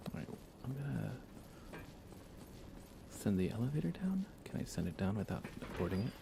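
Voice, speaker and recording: A man doing relaxed live ASMR game commentary, chatting softly and unhurriedly with his viewers. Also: fairly low pitch, normal speed, vocal fry, noisy background.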